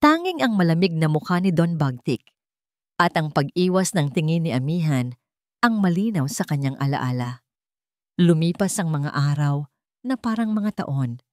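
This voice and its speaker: A woman with a Filipino accent reads a scripted dramatic story in measured, short phrases. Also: low-pitched, big pitch swings, darkish tone, no echo, clean studio sound.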